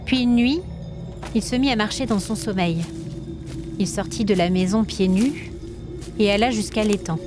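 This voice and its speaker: A woman storyteller reading scripted dramatic narration in an expressive, suspenseful manner. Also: medium pitch, moderate pace, pauses often, music in the background.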